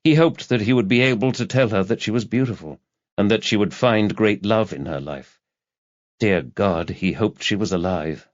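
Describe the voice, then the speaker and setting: Low-voiced male audiobook narrator, dry muffled sound. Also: normal speed, no echo. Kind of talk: scripted literary thriller narration.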